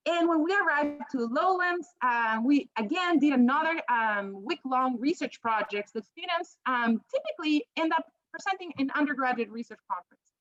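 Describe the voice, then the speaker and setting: High-pitched Peruvian-accented woman presenting. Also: expressive, moderate pace, fairly bright tone, dry recording, lo-fi sound. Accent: Peruvian Spanish. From Peru. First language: Spanish. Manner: hesitant, many ums and restarts. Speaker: biology professor. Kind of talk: presentation over slides.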